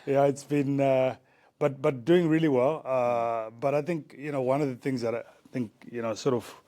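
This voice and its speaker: A man's voice: medium pitch, steady tone, normal speed, dark tone. A man in his forties from Zimbabwe, a former rugby player, talking casually as an interview guest with many fillers and restarts.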